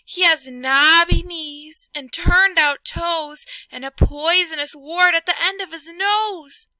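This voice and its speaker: A woman's voice: extremely high pitch, takes it slowly, pushed and bright, no room sound, pitched above the performer's own voice, exaggerated voice, poor audio. A woman voicing a bold, cheeky little storybook mouse, polite and boastful. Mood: alarmed.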